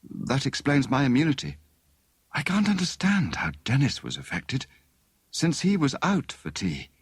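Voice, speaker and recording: A man's voice: medium pitch, big pitch swings, unhurried, slightly rough, no echo, clean studio sound, pitched above the performer's own voice. A man voicing an anxious, polite, earnest young Englishwoman in an audiobook story. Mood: earnest, troubled. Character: anxious, well-mannered young Englishwoman, tall and steady.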